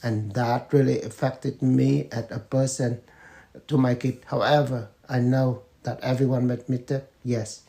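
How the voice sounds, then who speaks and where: Father in his fifties; slow, mellow, measured delivery. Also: mid-range voice, steady tone, gravelly. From Vietnam. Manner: slow, measured answers with pauses and fillers. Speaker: interviewee, father. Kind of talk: family interview.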